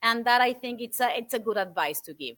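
A woman in her forties from Argentina, guest on an interview show, telling stories in an animated, thoughtful way with many fillers. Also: fairly high pitch, a little fast. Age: 40s. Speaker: guest speaker. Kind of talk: interview answers, storytelling.

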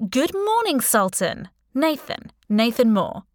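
A woman's voice: high voice, very animated, slow pace, dry recording, clean studio sound, voice pushed up, exaggerated voice. A woman voicing a short, wiry male British henchman boss with a chirpy, falsely friendly manner.

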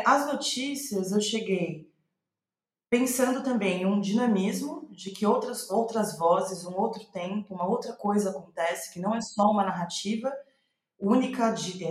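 A woman with a Brazilian Portuguese accent speaking reflectively in an interview, thinking aloud and restating her phrases as she goes. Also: mid-range voice. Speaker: playwright, podcast guest. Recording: roomy, echoey sound.

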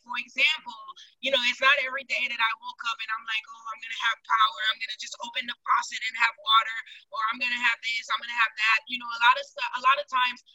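A woman from Haiti, a business owner and podcast guest, talking in lively quick bursts and laughing often. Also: a little high, dry recording, low-quality recording. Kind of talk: casual interview chat.

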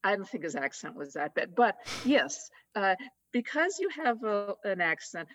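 A woman with a Boston accent, talking as a guest in a casual conversation, chatty and quick to laugh. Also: medium pitch, sing-song, fairly bright tone, no room sound. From United States. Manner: chatty, laughs easily, many fillers.

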